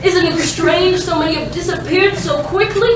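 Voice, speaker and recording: A woman's voice: extremely high pitch, normal speed. A woman playing a dramatic, ditzy, sweetly apologetic femme fatale client in a comedic staged noir reading. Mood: pleading, coy. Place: live staged reading in a roomy space. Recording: very echoey noisy room, distant mic.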